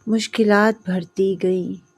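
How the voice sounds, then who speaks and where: A woman narrating a scripted moral story in short, measured phrases with pauses. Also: medium pitch, steady tone, darkish tone.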